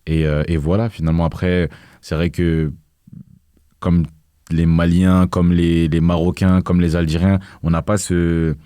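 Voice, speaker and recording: A man's voice: low-pitched, little pitch movement, a little slow, mellow, clean tone, dry recording, studio quality. A young man talking casually in an interview, hesitant, with many fillers and restarts. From France. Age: young adult. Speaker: guest, activist.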